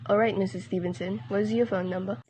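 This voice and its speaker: A woman's voice: mid-range voice, steady tone, quick pace, darkish tone, slight background noise, close to an everyday voice, poor audio. A teenage girl playing a composed, polite chief telephone operator in an amateur radio drama. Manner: polite, matter-of-fact.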